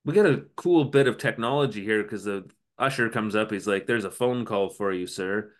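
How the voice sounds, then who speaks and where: A man with a slightly low voice talks through a recap with dry sarcasm, as if chatting with a friend on a podcast. The pace is loose and conversational, and he drops in mocking quotes of the characters. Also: moderate pace. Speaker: podcast co-host. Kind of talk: casual recap chat.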